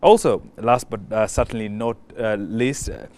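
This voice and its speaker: A man's voice: on the low side, normal speed, a little mellow, dry recording. A man moderating a televised panel interview, introducing the guests formally with frequent fillers. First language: not French.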